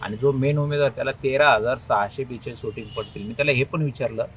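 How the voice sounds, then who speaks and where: A man's voice: mid-range voice, quick pace, fairly bright tone, a little hiss or hum. A man with a Maharashtrian accent, speaking informally as an election candidate. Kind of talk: informal phone call and spoken public statement.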